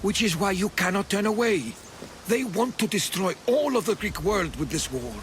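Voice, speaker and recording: An actor playing a wise Greek historian and mentor in a video game, solemn, eloquent and deliberate. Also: male voice, high voice, lively intonation, a bit bright, slight background noise. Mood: urgent. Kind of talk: video game cutscene acting.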